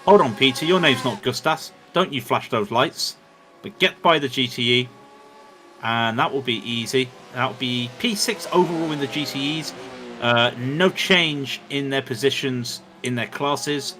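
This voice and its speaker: Bright-voiced man calling a sim race. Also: mid-range voice, measured pace, soft background music. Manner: chatty, joking asides between race updates. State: dry throat after hours of commentating. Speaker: sim racing commentator. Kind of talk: live race commentary.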